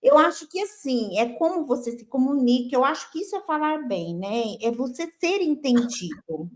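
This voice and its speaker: Female co-host, high pitch, talks straight to the audience with playful exclamations. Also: lively intonation, normal speed. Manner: chatty, playful, exclamatory, addresses viewers directly. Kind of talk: casual chat. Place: lives in Italy.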